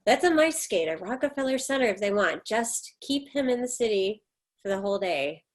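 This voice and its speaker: A woman's voice: mid-range voice, lively intonation, moderate pace, lightly acted. A woman reading the part of an embittered, defensive middle-aged mother in a stage drama, with emphatic, reproachful delivery. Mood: weary, evasive. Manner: emphatic, reproachful, clipped retorts.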